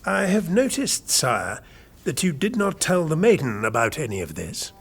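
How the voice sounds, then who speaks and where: A man playing a shrewd, deferential royal chamberlain in a historical audio drama, courtly and husky. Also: fairly high pitch, very animated, normal speed, a bit bright, heavily acted.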